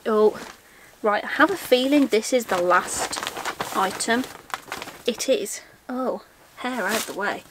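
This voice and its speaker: A woman's voice: medium pitch, slight background noise, rough recording. A young woman chatting casually through a haul vlog, often asking herself questions and laughing at her own forgetfulness. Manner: chatty, self-mocking, asks herself questions aloud. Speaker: vlogger. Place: indoor room lined with shelves of backpacks.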